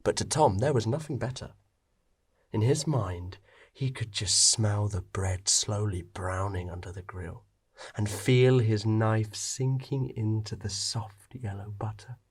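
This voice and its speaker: A man narrating a scripted story expressively, with dramatic pauses. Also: fairly low pitch, breathy edge.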